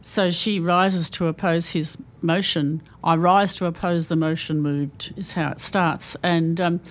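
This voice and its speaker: A woman with an Australian accent, a singer chatting as a guest in a radio interview, lively and quick to laugh. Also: on the low side.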